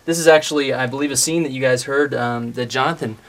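A man explaining his sound design work in a casual, somewhat halting way. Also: medium pitch, a bit bright.

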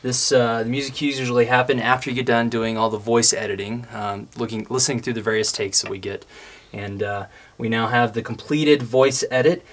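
A man explaining his sound design work in a casual, somewhat halting way. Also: medium pitch, fairly even intonation, fairly bright tone.

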